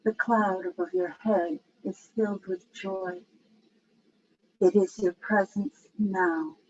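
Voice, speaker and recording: A woman leading a guided meditation in a soothing, unhurried manner with long pauses. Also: mid-range voice, steady tone, darkish tone. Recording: dull, lo-fi with faint background noise.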